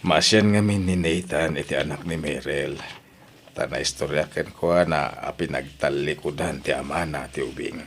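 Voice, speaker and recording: A man's voice: a bass voice, normal speed, creaky, slight background noise. An actor playing a devoted, sentimental elderly father in an audio drama, with an Ilocano accent. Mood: earnest, tender.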